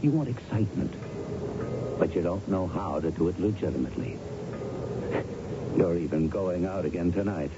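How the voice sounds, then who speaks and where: A man's voice: sits low, normal speed, long pauses, close to an everyday voice. An actor playing a shrewd police lieutenant in a radio mystery, coaxing and insistent as he works on a suspect. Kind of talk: 1970s radio drama acting. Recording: dull radio broadcast, clear hiss.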